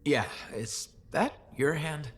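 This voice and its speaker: A man's voice: fairly high pitch, lively intonation, slow, a little hiss or hum. A man playing a wry, determined mercenary pilot in a video game cutscene, with a dry delivery. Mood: tense, dry.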